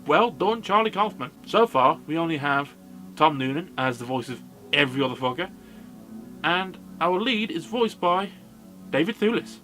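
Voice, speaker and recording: High-voiced sarcastic man reviewing films. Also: animated, moderate pace, sharp tone, soft background music. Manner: sarcastic, sweary. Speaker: film review video host. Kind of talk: scripted comedic film review narration.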